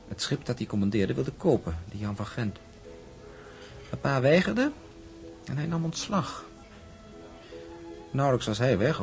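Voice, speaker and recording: An actor playing a confident shipping man in a drama, explaining in a measured, emphatic manner. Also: on the low side. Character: confident shipping man briefing a woman about a rival.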